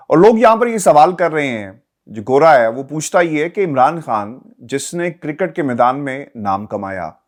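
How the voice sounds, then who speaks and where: A man with a Pakistani accent gives political news commentary as a journalist, speaking emphatically and posing rhetorical questions to viewers. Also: mid-range voice.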